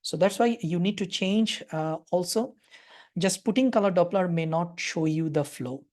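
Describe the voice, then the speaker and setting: A woman's voice: lowish voice, normal speed, a little mellow. A woman with a Bangladeshi accent giving a live webinar lecture and answering questions, talking with frequent hesitations and fillers. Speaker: radiology lecturer.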